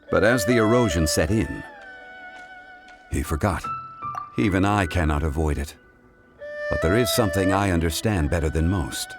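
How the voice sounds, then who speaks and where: A man playing a calm ancient god in human guise in a video game, speaking in a measured, formal way. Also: low-pitched. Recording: music playing behind the voice.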